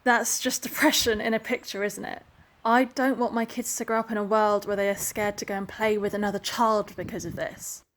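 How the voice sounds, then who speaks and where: A woman's voice: medium pitch, steady tone, a little fast, a bit bright, lightly acted. A woman playing an exasperated, worried mother in a filmed diary monologue. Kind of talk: spoken diary monologue in a short film.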